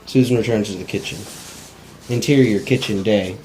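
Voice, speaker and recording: A man's voice: on the low side, normal speed, mellow, poor audio. A man reading out screenplay stage directions as narration at an informal table read. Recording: amateur camera recording with background noise.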